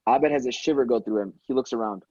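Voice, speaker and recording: Casting: male stage-directions narrator, relaxed dark tone, dry and slightly lo-fi. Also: mid-range voice, fast, no echo. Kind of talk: scripted narration at a table read.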